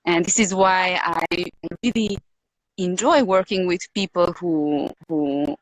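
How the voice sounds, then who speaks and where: A woman with a Hungarian accent, raised in Romania, talks slowly through her thoughts as an interview guest. Her voice sits a little low and is recorded dry and close, with no room sound. She hesitates often, repeats words and searches for the right phrase. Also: no room sound, rough recording. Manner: hesitant, searching for words with many pauses and fillers. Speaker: podcast guest. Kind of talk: reflective interview talk.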